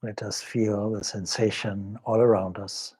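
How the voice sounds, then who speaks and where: A man leading a guided meditation as a swami, speaking in a measured, solemn, devotional way with pauses between short phrases. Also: fairly low pitch, level tone, a little mellow, slightly rough.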